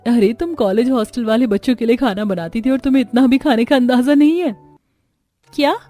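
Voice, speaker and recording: A woman's voice: a little high, a little fast, dark tone, faint music behind, lightly acted. Firm elder sister-in-law played by a woman. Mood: scolding. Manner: firm, instructing. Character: brisk, matter-of-fact elder sister-in-law. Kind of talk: Hindi cartoon family-drama voice acting.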